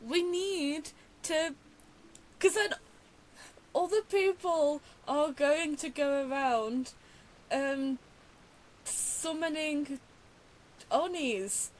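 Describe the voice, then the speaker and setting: Female gaming streamer: casual, thinking aloud, high register, slow delivery, faint background noise. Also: rough recording. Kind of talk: casual gameplay commentary.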